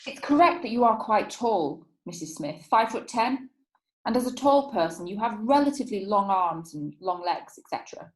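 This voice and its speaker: A woman's voice: medium pitch, expressive, moderate pace, echoey room, a little hiss or hum, lightly acted, rough recording. An actress playing a crafty female defence lawyer in a period courtroom play, formal and insinuating. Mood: leading, insinuating. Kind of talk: theatrical courtroom play acting.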